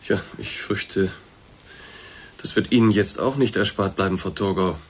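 An actor playing a courteous, awkward middle-aged boss in a radio play, speaking in a formal, hesitant, roundabout way with a slightly husky voice. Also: male voice, deep voice, measured pace. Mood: musing, formal. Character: courteous, awkward male boss, discreetly breaking bad news. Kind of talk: German radio drama acting, naturalistic dialogue.

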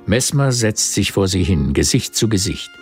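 Male narrator for literary audio drama, deep register, measured scripted delivery, faint music behind. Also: big pitch swings. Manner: measured, terse present-tense storytelling.

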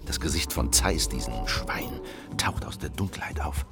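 An actor playing a brooding revolutionary who narrates his memories in a radio drama, hushed, vivid and scornful. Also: very deep voice, expressive, moderate pace, creaky, whispered, full character voice. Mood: grim, scornful. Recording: loud music under the voice.

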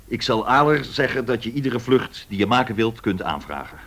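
Brusque, husky airline director. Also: male voice, mid-range voice, expressive, normal speed, fairly bright tone. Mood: brisk, commanding. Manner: curt, authoritative, probing. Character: brusque, commanding male airline director, businesslike.

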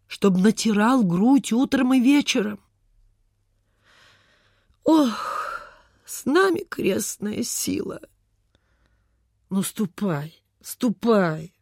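Slow, fussing, pious country lady. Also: mid-range voice, expressive, a little mellow, husky, dry recording, studio quality, heavily acted. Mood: fussing, caring. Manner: sighing, fussing, motherly. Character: kind-hearted, flustered, pious provincial landowner lady. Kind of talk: radio play acting.

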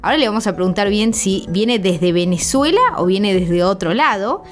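A woman's voice: medium pitch, expressive, moderate pace. Chatty woman radio host. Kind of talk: casual radio interview.

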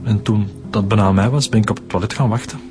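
A man's voice: lowish voice, steady tone, fast, music in the background, natural voice. An actor with a Flemish accent playing a weary middle-aged man who confesses to a killing in a radio drama. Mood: resigned. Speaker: suspect.

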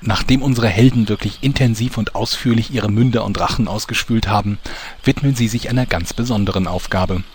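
A man's voice: fairly low pitch, a little fast, a little hiss or hum, natural voice. A man playing a mock-solemn storyteller, narrating a fantasy parody audio drama from a script.

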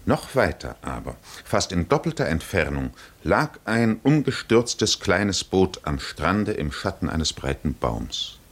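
A man narrating a literary 1950s radio drama in measured, deliberate phrases with pauses. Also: deep voice, very animated, husky.

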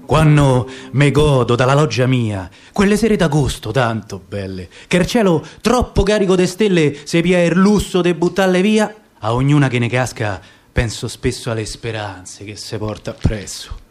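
An actor playing a nostalgic middle-aged Roman man, reciting dialect verse about the past in an expressive storytelling manner. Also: mid-range voice, moderate pace, natural voice. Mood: wistful, wry. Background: acoustic guitar music.

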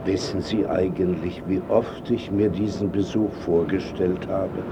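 An actor playing a frail, wry old painter in a radio drama, speaking tersely and reflectively as someone wistful and close to death. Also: male voice, deep voice, steady tone, unhurried, gravelly, muffled recording, noisy background. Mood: reflective, tender. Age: older.

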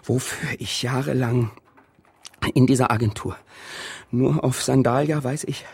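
Husky cynical agency woman. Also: rumbling low, steady tone, measured pace, a little mellow, exaggerated voice. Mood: hushed, bitter. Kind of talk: modern radio play monologue.

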